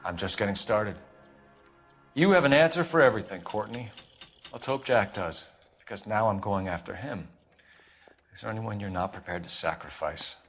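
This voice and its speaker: A man's voice: fairly low pitch, normal speed, long pauses, fairly bright tone, soft background music. A man playing an intense, driven police detective in a dramatic video game. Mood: accusing, determined.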